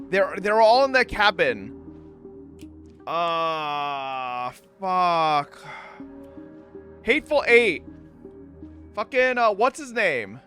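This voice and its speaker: Guy streamer w a high bright voice, crude jokes, lots of cursing, talking to chat. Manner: animated, crude joking. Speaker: livestreamer reacting to a game. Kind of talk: casual chat with viewers, profane. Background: game music.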